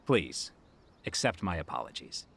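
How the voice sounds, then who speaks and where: An actor playing a stiff, formal, apologetic official in a video game. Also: male voice, sits low, sing-song, a little slow, creaky, a bit bright, husky, exaggerated voice.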